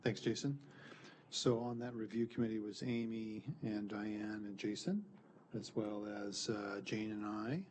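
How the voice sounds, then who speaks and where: A man's voice: fairly low pitch, unhurried, darkish tone, a little hiss or hum. A man presenting an update at a committee meeting, explaining the process in an easygoing way. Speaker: committee member presenting a plan review. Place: board meeting room.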